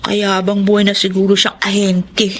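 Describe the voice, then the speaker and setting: A woman's voice: mid-range voice, monotone, moderate pace, slight background noise, natural voice. An actress playing a sweet, playful eighteen-year-old Filipino daughter devoted to her papa, in a radio drama. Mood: earnest, tender.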